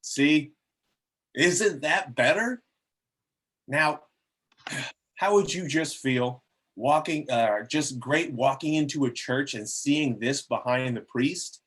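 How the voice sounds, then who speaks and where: A man acts out a glib cardinal giving a public speech at a table read. His voice is middle-pitched and husky. He addresses the crowd in an oratorical, salesmanlike way. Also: slow, pauses often, a bit bright. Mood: persuasive. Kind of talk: amateur script table reading of a public speech.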